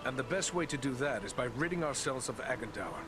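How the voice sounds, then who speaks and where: Earnest ranger hero played by a man, mid-pitched. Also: animated, fast talker, close to an everyday voice. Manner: formal, earnest. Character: earnest, courteous human ranger hero of the north. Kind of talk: fantasy game cutscene acting.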